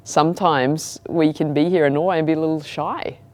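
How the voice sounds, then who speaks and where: A woman from New Zealand with a light Kiwi accent and a notably low-pitched voice talks as a founder on a business panel. Her delivery is loose and chatty, full of "like" and "I mean" as she works out her thoughts aloud. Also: a little fast. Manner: relaxed and conversational, with frequent "like" and "I mean". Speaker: startup founder. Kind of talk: panel discussion.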